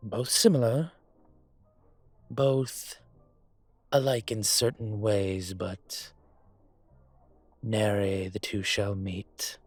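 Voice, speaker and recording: A man playing a suave, teasing dragon mafia boss in an intimate roleplay audio, speaking deliberately with a husky voice. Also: fairly low pitch, steady tone, dry recording, faint music behind. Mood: candid, measured. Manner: smooth-talking, deliberate, teasing.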